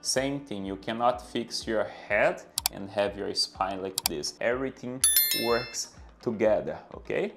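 A man's voice: lowish voice, gravelly. A man in his thirties from Brazil with a Brazilian Portuguese accent, a fitness trainer giving exercise instructions to camera and counting reps aloud. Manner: coaching, counts reps aloud, non-native grammar. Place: bright indoor room with anatomy posters. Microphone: clip-on lapel mic.

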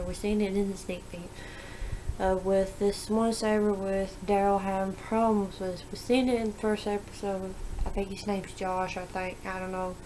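A young woman chats into a webcam from a small bedroom, her voice flat and even in pitch at a middle height. She rambles along, stopping and restarting her sentences. A faint hiss sits under her voice. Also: rough recording. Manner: rambling, halting, frequent restarts and fillers. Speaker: vlogger. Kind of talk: casual webcam vlog, TV episode predictions. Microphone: webcam at desk.

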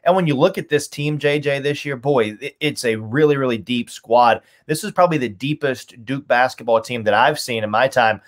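A man's voice: mid-range voice, moderate pace, hardly any pauses. Man giving emphatic sports analysis. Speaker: radio play-by-play announcer, podcast guest. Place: small home room, indoors. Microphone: video call, webcam framing.

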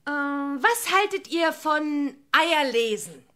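Cheeky young witch girl, high bright voice, perky, confident, radio play. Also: lively intonation, slow pace, heavily acted. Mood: cheeky, keen. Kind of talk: children's audio drama acting.

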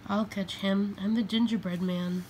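A woman's voice: medium pitch, fairly even intonation, normal speed, darkish tone, natural voice, rough recording. A woman voicing an earnest, eager young gingerbread man in a children's storybook, exclaiming in rhyme with determination. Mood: determined, indignant. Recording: faint noise, home recording.

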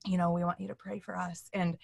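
A woman's voice: on the low side, little pitch movement, fast, gravelly, dry recording, studio quality. A middle-aged woman, an interview guest, telling stories earnestly and acting out what people said. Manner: earnest, acts out quoted speech while telling stories. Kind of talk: animated storytelling in a casual interview. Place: indoor room, seated on a couch on a video call.

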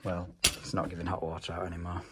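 A man's voice: low voice, monotone, fast, heavy background noise. A man in his thirties, a plumber, narrating matter-of-factly as he works on a job in a customer's home. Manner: matter-of-fact, talks while working. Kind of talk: working vlog narration.

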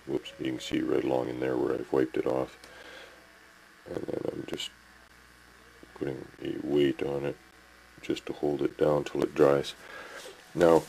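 A man's voice: extremely low pitch, mellow, slight background noise, poor audio. A man, rambling through a casual home workshop tip and checking in with the viewer, recorded on a handheld camcorder. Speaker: hobby model builder. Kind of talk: casual home video tutorial. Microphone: camcorder mic, handheld.

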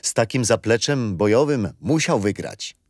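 Grandfather, patient and kindly storyteller for a children's history play, animated intonation. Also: on the low side, normal speed, no echo, studio quality. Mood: kindly, explaining. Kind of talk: children's radio play acting.

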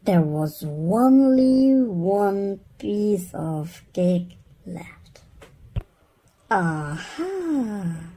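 Children's book narrator: woman, very slow and deliberate, mellow with a husky edge. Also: medium pitch. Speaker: storybook reader. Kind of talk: children's read-aloud narration.

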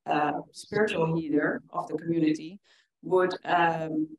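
A young woman from the Netherlands giving a conference talk in an earnest way, often pausing with fillers. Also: low voice, steady tone, crowd noise, poor audio. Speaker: conference speaker. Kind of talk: presentation talk. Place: conference hall with audience.

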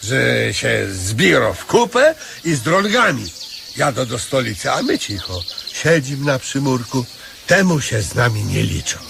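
A man's voice: medium pitch, very animated, moderate pace, creaky, background noise. An actor playing a wily, anxious male beggar with a folksy, stammering delivery, in a radio comedy drama. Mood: wheedling.

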